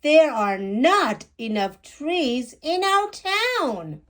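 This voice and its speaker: A woman's voice: high-pitched, big pitch swings, extremely slow, voice pushed up, exaggerated voice. A woman voicing a curious little girl bubbling with questions, in an inquisitive, emphatic picture book read-aloud. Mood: urgent.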